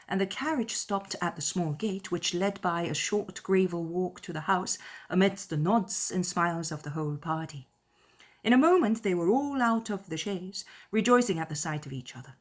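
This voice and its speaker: Low-voiced woman narrating a classic novel. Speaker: audiobook narrator. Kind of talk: scripted literary narration.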